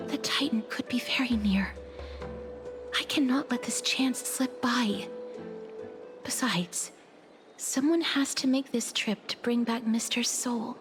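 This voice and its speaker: Woman acting a gentle, higher-voiced earnest young woman. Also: lightly acted. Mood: quietly resolute. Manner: formal, polite, measured speech. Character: gentle, earnest, resolute young woman seeking to explain herself. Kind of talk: scripted video game voice acting.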